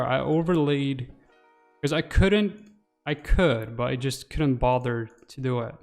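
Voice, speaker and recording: A man in his twenties muttering his thoughts aloud as he casually hosts a livestream while working, close on a desk microphone. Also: mid-range voice. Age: 20s. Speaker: livestream host. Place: small room indoors. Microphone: desk microphone close to the mouth.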